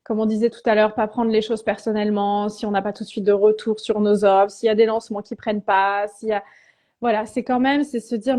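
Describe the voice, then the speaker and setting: This is a woman in her thirties with a warm, mellow voice, speaking as a guest coach in a live-stream chat. She thinks aloud, stopping and restarting her phrases as she goes. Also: medium pitch. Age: 30s. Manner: reflective, halting, many restarts and fillers. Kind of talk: casual live-stream conversation.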